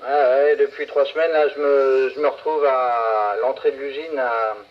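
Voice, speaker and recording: A man's voice: medium pitch, fairly even intonation, normal speed. A man chatting casually as a CB operator, hesitating and repeating himself. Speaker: CB radio operator. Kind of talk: casual chat. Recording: muffled CB radio transmission.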